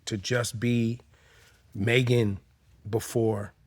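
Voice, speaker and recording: A man's voice: medium pitch, little pitch movement, drawn-out words. A middle-aged man co-hosting an interview, making his points with emphasis and backing up the guest. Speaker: co-host, interviewer. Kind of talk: casual interview talk.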